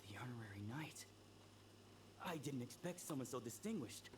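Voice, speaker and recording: Actor as a shy young medic, stammering, lively pitch, noisy game audio. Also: male voice, moderate pace, natural voice. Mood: hesitant. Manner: hesitant, stammering. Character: timid young male trainee medic. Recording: streamed game audio with noise.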